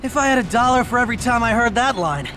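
An actor playing a youthful, quippy, anxious superhero in a video game, quick-witted and exclamatory. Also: male voice, very high voice, lively intonation, bright, forceful tone, soft background music, exaggerated voice. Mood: exasperated. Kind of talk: video game cutscene acting.

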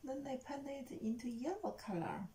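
A woman speaks softly and slowly in short prompts and questions, as if to a young child. Her voice is mellow and slightly high, picked up from a little way off with faint noise behind it. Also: sing-song. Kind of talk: casual chat with a young child. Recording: faint noise, distant from the mic.

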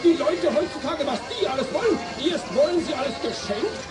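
A brash male market hawker in an old radio play, calling out in a very high, indignant voice. It echoes, with loud music and crowd behind. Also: sing-song, a little fast. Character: brash, pushy, grumbling male market peddler.

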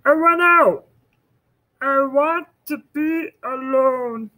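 A man voicing a calm male frog reading out his own note, high and slow. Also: full character voice.

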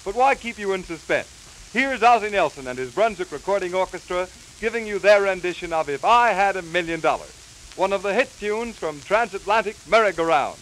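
A man delivering scripted 1930s promotional announcements with brisk, theatrical showman's hype. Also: high-pitched, lively intonation, a bit bright. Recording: hissy, crackly 1930s 78 rpm record. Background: record hiss and crackle.

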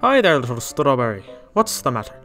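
Man as a bright, encouraging dad pony. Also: medium pitch, very animated, a little fast, soft background music. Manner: reassuring, hearty. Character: kind, encouraging father pegasus pony. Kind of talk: amateur animated audio drama.

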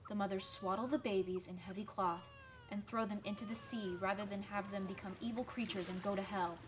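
A woman's voice: medium pitch, steady tone, brisk, noisy background, lightly acted. A woman playing a proud, superstitious village washerwoman in a radio drama, folksy, emphatic and scolding. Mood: fearful, grave.

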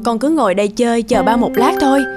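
A woman's voice: on the high side, fast talker, over loud music, close to an everyday voice. A woman voicing a kind, patient young schoolteacher in a cartoon, speaking reassuringly. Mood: caring. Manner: kindly, reassuring. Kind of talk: animated cartoon dub.

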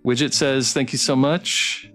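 Male stream host, middle register, casual and halting interview delivery, faint guitar underneath. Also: medium pitch, steady tone. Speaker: livestream host interviewing game developers. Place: online voice call on a livestream. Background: guitar music playing softly.

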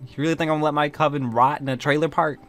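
A man's voice: a little high, fairly even intonation, rapid-fire, fairly bright tone. A man playing a sarcastic, vengeful warlock with a mocking, theatrical manner in an improvised video game roleplay. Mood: scornful. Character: sarcastic, vengeful male warlock leading a coven. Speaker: roleplay actor. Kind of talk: improvised Minecraft supernatural roleplay. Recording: music behind the voice.

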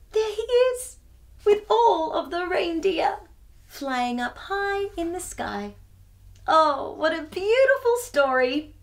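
A high-pitched woman in her thirties presents stories for little children in an expressive, sing-song manner. Her delivery is unhurried and swoops up and down. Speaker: children's storytime host. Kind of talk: read-aloud storytelling and chatty children's presenting. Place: small furnished living room, indoors.

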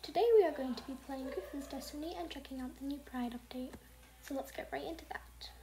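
A young woman hosting a casual gameplay video, talking softly and half-whispered, rambling and apologizing, recorded at home. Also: high-pitched, fast. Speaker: gaming channel host. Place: home with family noise.

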